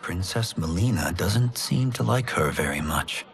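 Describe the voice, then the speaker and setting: Earnest young fighter, lively intonation. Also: male voice, deep voice, measured pace, a faint crowd. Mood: uneasy. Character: earnest, awed young male champion fighter. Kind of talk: cinematic video game acting. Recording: music behind.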